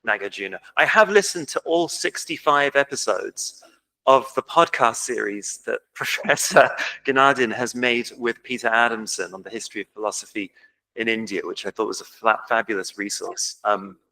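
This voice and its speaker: A man's voice: mid-range voice, bright, forceful tone, rough recording. A man with an English accent, giving an informal academic lecture at a conference, self-deprecating and full of ums. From England. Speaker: conference speaker. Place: lecture hall.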